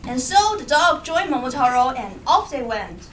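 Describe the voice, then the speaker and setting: Casting: female live storyteller, high and animated, picked up from a distance with clear noise. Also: normal speed. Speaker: puppet show storyteller. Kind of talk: scripted live story narration. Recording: echoey room, distant mic, noisy.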